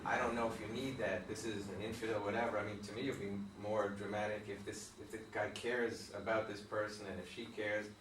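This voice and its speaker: A man's voice: fairly low pitch, little pitch movement, quick pace, hardly any pauses, noisy background. A man in a theatre discussion making an emphatic suggestion about the drama. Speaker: audience member giving feedback. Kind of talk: workshop discussion. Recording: echoey room, distant mic.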